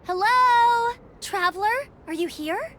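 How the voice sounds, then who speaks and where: A woman playing a perky, friendly, energetic young female scout in a video game, encouraging in manner. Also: very high voice, takes it slowly, a little hiss or hum, heavily acted. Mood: cheerful. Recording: faint background music.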